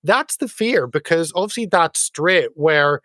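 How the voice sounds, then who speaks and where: A man hosting a political chat show, talking in a halting, sarcastic way with many fillers. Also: a little high, lively intonation, pushed and bright, dry recording, clean studio sound. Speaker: show host.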